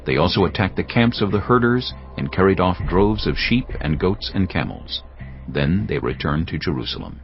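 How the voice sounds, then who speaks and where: Male narrator, very low voice, animated intonation, measured scripture storytelling, music behind. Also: creaky. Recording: dull lo-fi sound, music behind.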